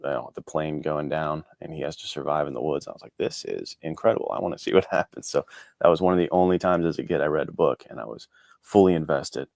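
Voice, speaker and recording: A man's voice: a bass voice, quick pace, gravelly, a little mellow, no echo, studio quality. A man talking casually as an interview guest, easygoing and a little self-deprecating. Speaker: guest, indie author and podcast co-host. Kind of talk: casual chat.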